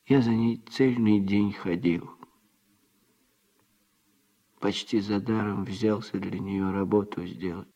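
An actor playing a footloose, self-satisfied womanizer and odd-job man in a Soviet radio drama, speaking colloquially in a husky voice. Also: lowish voice, fairly even intonation, unhurried, warm tone, highs cut off. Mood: grumbling, self-pitying.

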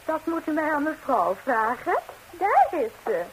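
Pert young female housemaid, high and very lively, faintly hissy vintage radio comedy. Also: measured pace, poor audio. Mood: polite. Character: cheeky, forthright young housemaid, easily astonished. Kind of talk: 1960s radio comedy acting in Dutch. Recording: hissy 1960s radio recording.

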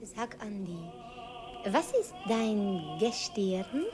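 An actress playing a sweet, playful young Hungarian girl in a radio play, speaking halting German with a Hungarian accent and mixing up words. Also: medium pitch, over music. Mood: tender. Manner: broken, halting German with wrong word order.

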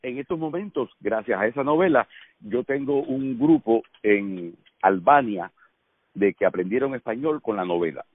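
A middle-aged man with a Cuban accent, an actor telling stories in a casual radio chat, lively and laughing often. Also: mid-range voice, moderate pace, creaky, no room sound. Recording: dry phone-line sound.

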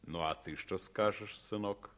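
An actor playing a probing, condescending gendarme questioning children in a 1950s radio drama. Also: male voice, on the low side, measured pace, a bit bright. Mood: probing, patronising.

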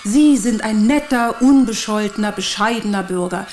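Woman acting a bitter suspicious lady in a crime radio play, sarcastic and bossy, mid voice, soft music under it. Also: mid-range voice, moderate pace, lightly acted. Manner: sarcastic, commanding. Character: cold, bitter, suspicious woman holding a detective at gunpoint. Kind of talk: radio drama dialogue.

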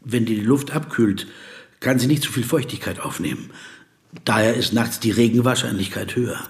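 An actor playing a reserved, observant interviewer who narrates his inner thoughts in a literary radio play, in short measured phrases. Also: mid-range voice, gravelly, husky, no echo, clean studio sound. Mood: dry, reserved.

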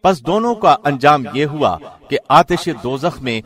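A man reading a scripture translation from a script in the formal, measured manner of a radio presenter. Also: a little high, expressive, fairly bright tone. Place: studio.

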